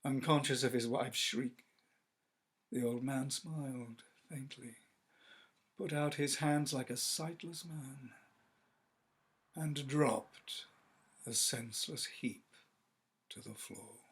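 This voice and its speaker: Husky, slow, dramatic male storyteller. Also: medium pitch. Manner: measured, theatrical, with hushed phrase endings. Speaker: actor reading a short story aloud. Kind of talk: dramatic literary narration.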